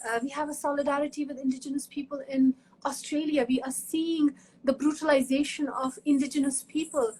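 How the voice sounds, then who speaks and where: This is a woman from Kashmir, talking earnestly in an online conversation. Her voice sits high, and she often doubles back, repeating words as she searches for them. A faint noise runs behind the call. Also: level tone, fairly quick, a little mellow, rough recording. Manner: earnest, frequent restarts and repetitions. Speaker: guest academic in conversation. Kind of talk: conversational interview talk.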